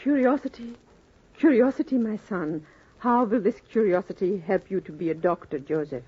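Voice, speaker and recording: A woman's voice: mid-range voice, normal speed, breathy edge, close to an everyday voice. An actress playing a devoted, earnest immigrant mother, solemn and formal, in 1940s radio drama style. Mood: doubtful, puzzled. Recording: muffled 1940s radio recording.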